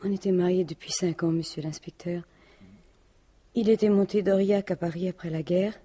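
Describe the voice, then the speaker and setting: An actress playing a grieving, plain-spoken widow in a French radio detective drama, speaking haltingly. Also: female voice, lowish voice, fairly even intonation, mellow, breathy edge. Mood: subdued, halting. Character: grieving, indignant widow of a murdered businessman.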